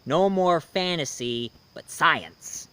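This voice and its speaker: Male reviewer-host, smooth clean tone, slightly high register, opinionated and conversational, talking straight to the audience. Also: expressive, takes it slowly, pushed and bright, rough recording. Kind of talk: casual rambling film review commentary.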